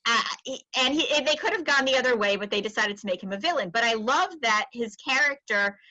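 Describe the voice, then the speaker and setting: A woman's voice: a little high, expressive, fairly quick, pushed and bright, no room sound, dull audio. A woman hosting a podcast in a chatty, rambling, casual interview style. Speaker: podcast host.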